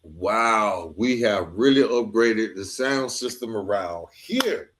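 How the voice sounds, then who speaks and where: A man's voice: lowish voice, lively intonation, slow pace, fairly bright tone. A man hosting a podcast and interviewing a guest in an informal, conversational way, often turning aside to speak to listeners.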